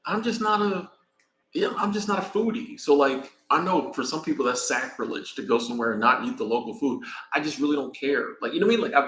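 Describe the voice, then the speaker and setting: A man's voice: mid-range voice, a bit bright, roomy sound, rough recording. Laid-back American man chatting live. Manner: rambling, laid-back, thanks viewers by name. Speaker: vlogger. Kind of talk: casual live-stream chat. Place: in Mexico, traveling.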